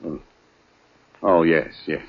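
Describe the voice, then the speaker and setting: Anxious doctor, low rough voice, stammering, repetitive, old radio drama, muffled sound. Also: male voice, steady tone, full character voice. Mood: pleading. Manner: halting, stammering, repeats himself. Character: anxious, flustered male doctor with a troubled household. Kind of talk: 1940s radio acting.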